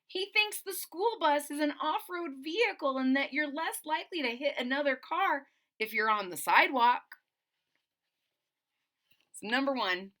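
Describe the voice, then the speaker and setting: Female storyteller, middle-aged, for kids' picture-book read-aloud: animated pitch, bright projected tone, dramatic emphasis, explanatory asides. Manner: expressive, explains to young listeners, dramatic emphasis. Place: small indoor room at home.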